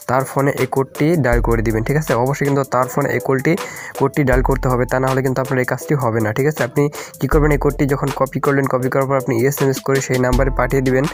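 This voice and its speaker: Male tutorial host, very rapid and even-toned delivery, instructional and informal, with music underneath. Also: medium pitch. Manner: repeatedly checks in with the listener. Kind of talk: informal step-by-step video tutorial narration. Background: background music.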